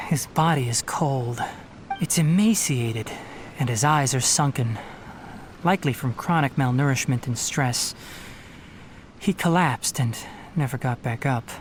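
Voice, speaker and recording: A man's voice: mid-range voice, a little slow, long pauses, slight background noise, natural voice. A man voicing a composed, analytical young male forest ranger and scholar in a video game. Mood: calm. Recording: game audio with faint music.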